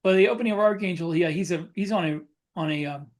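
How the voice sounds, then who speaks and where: A man chatting casually as a podcast co-host, thinking aloud in a halting way with repeated phrases. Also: high-pitched. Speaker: podcast co-host and science fiction author.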